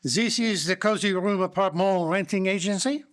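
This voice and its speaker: A man's voice: high voice, normal speed, natural voice. A man playing a courteous, fussy detective with a French accent, speaking formally and precisely in live radio drama style. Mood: polite, fussy. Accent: put-on French. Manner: formal, gallant, theatrically precise. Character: courteous, fussy Belgian male detective, precise and self-assured. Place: live performance in a library before an audience. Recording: live stage recording, faint noise.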